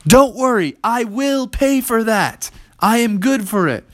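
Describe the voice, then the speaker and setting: Big friendly storybook T-Rex, played by a narrator: pitched up, hearty, excitable, gummy-worm glutton, easygoing. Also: unhurried, no room sound, clean studio sound, close to an everyday voice. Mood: reassuring.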